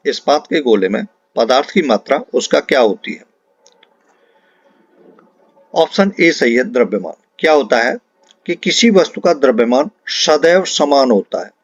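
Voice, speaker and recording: A male teacher leads an exam-prep quiz lesson in a mid-pitched voice. He is instructive and repeats questions and answers so they stick. The recording is dry and a little muffled and lo-fi. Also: no echo. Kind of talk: explanatory quiz lesson, talking to students.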